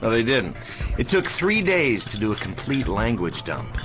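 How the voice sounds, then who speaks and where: An actor playing a confident, teasing older brother, a young space pilot, in a video game cutscene. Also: male voice, mid-range voice, big pitch swings, moderate pace, close to an everyday voice. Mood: wry. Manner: wry, teasing, self-assured. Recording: music behind the voice.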